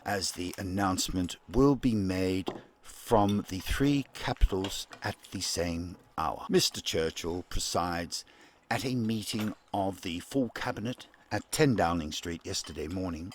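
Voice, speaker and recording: A man acting as a solemn wartime newsreader of the 1940s, with a fairly low voice and very slow, deliberate phrasing. He delivers a formal radio bulletin over a clearly noisy recording. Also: animated.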